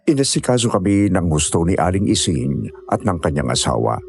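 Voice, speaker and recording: A man's voice: low-pitched, very animated, fairly quick, creaky, a little mellow, faint music behind, heavily acted. A man playing an uneasy young climber, narrating a scary mountain ordeal in scripted first person.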